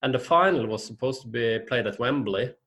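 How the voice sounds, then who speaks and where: A man from Norway, speaking English as an in a casual interview and often pausing on fillers and restarts. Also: medium pitch, a bit bright. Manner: hesitant, many fillers and restarts. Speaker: guest.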